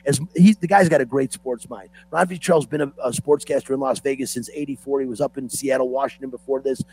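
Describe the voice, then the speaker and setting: A hoarse man hosting a sports talk show, opinionated and ranting like a fan. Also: medium pitch, steady tone, quick pace, a little mellow, low-quality recording.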